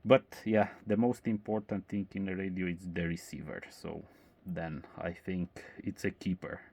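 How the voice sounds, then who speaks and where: Deep-voiced male radio operator, casual chat, measured and monotone delivery. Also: gravelly, husky, no room sound.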